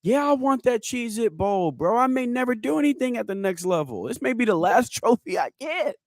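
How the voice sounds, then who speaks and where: A man's voice: very high-pitched, sing-song, fairly quick, no room sound, clean studio sound. A man in his thirties hosting a casual sports podcast over a video call, joking and laughing as he talks. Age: 30s. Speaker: podcast host.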